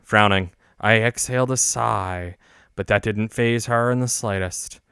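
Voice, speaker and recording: A man's voice: lowish voice, measured pace, creaky, fairly bright tone, smooth, no echo, studio quality. A man streaming a game, reading its story aloud in a casual but theatrical storytelling manner. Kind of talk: casual read-aloud narration of a visual novel.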